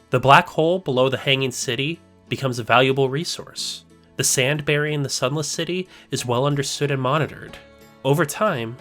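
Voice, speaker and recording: Measured male video essay narrator. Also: medium pitch, fairly bright tone. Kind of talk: scripted narration.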